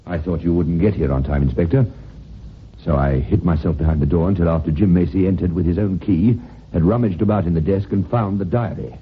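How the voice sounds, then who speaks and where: An actor playing a calm, deliberate doctor explaining himself in an old-time radio drama. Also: male voice, sits low, slightly rough. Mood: calm, explanatory. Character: calm doctor who explains catching the culprit. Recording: tinny old radio recording.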